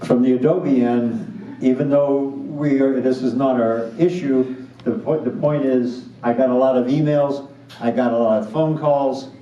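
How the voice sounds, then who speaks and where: A man's voice: mid-range voice, fairly even intonation, unhurried, dark tone. A man moderating a community meeting, explaining things in a matter-of-fact way. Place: large echoey meeting room. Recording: muffled, echoey, distant mic.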